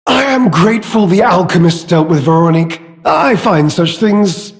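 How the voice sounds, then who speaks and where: A slippery, self-serving video game villain with a slightly high voice for a male. He takes his time, drawing phrases out with theatrical hesitation and oily courtesy. His words ring out in a long, hall-like reverb. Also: animated. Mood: mock-polite, menacing. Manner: theatrical, halting, drawn-out phrasing with mock politeness. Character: scheming, cowardly male informant turned smug, self-serving villain. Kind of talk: video game character dialogue.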